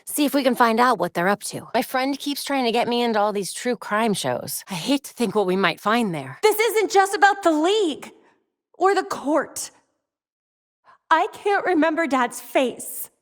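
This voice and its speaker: A woman with an American accent playing a young, brainy, determined vigilante superhero in a video game, crisp, earnest and dryly quippy. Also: sits high, very animated, normal speed, no room sound, clean studio sound. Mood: calm, investigative, then pleading, upset. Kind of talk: video game voice acting, short dialogue lines.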